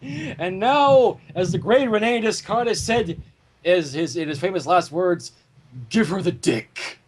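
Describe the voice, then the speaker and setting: A man's voice: sits high, slow. A man narrating fan fiction and bantering casually in a playfully theatrical way. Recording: noisy home mic with clicks.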